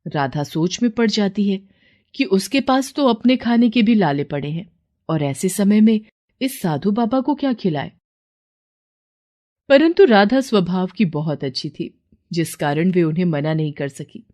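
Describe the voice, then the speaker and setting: A woman's voice: medium pitch, lively intonation, a little slow, dark tone. A woman narrator reading a scripted moral tale as a storyteller.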